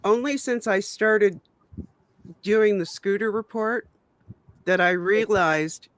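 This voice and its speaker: A man's voice: sits high, slow pace, fairly bright tone. A man with a Canadian accent, an easygoing guest in a casual chat over a video call.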